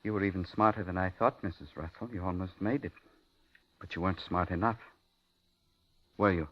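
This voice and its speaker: A muffled 1950s radio recording carries a paranoid madman. His voice is fairly low and soft-spoken, with coaxing politeness and menace, as in an old thriller. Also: fairly quick. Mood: calm menace.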